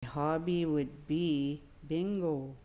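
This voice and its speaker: A woman's voice: deep voice, steady tone, slow pace, mellow. A woman in her sixties, a community elder from Canada, giving plain, brief answers in an interview. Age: 60s.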